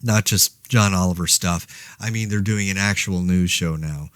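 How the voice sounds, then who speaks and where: A man's voice: sits low, moderate pace, fairly bright tone, clean tone, no room sound, clean studio sound. A man playing a rambling, wry, laid-off New Yorker who hesitantly podcasts through a zombie outbreak in an audio drama. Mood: wry, reflective. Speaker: podcast host. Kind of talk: casual podcast monologue in a fiction audio drama.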